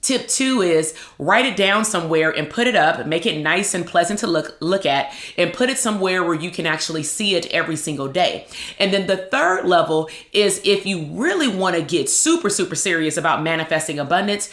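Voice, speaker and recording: A woman in her thirties with a loud voice, hosting a casual motivational talk as a psychologist and branding coach, lively and conversational with quick asides. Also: fairly low pitch, reverberant.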